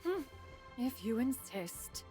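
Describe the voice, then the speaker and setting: Proud motherly immortal lady in a game, haughty n formal, highish swoopy voice, music behind. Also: sing-song, slow, dark tone. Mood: fond. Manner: haughty, formal, archaic, calls herself "one". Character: proud, dignified immortal adept woman, doting mother figure. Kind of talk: video game voice acting.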